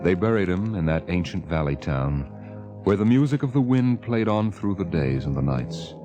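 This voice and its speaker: A man narrating a 1950s radio drama as host, with measured, dramatic, literary delivery. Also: deep voice, lively intonation, warm tone. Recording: vintage 1950 radio recording. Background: music.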